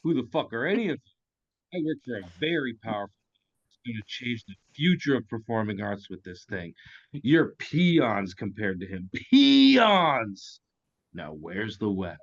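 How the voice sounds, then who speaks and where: A man's voice: mid-range voice, big pitch swings, measured pace, gravelly, heavily acted. A man playing a swaggering, foul-mouthed goon boss in a comedic table read, mocking and condescending, over a dull online call. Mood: aggressive contempt.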